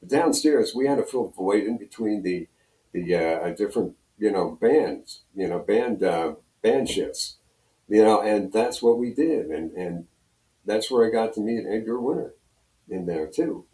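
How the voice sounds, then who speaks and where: An older American man with a low, mellow voice reminisces as a guest in a casual interview. He wanders through his anecdotes, and the sound is roomy. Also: animated, a little slow. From Pennsylvania, United States. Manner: rambling, anecdotal storytelling. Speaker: guest, retired radio personality. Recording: roomy echo, distant from mic.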